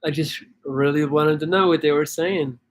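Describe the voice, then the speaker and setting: A man's voice: a little high, steady tone, normal speed. A man from Belgium chatting casually as a stream host, rambling with many restarts and fillers while answering viewer questions. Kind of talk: casual chat reading comments.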